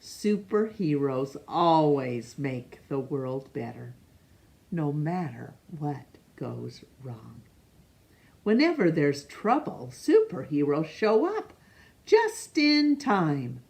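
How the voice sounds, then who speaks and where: A woman reading a picture book aloud to children, expressive and pausing to ask young listeners questions. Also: low-pitched, gravelly. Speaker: storytime reader.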